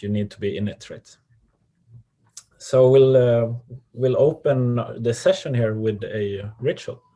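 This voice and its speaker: A man with a Swedish accent calmly presenting an online workshop over a video call, with frequent pauses and ums. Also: lowish voice. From Sweden. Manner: calm, measured, with frequent ums. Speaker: workshop facilitator.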